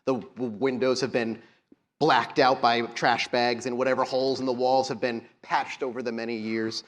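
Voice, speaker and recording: Male game master, mid-pitched voice, narrating scenes at a live tabletop game. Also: level tone, normal speed.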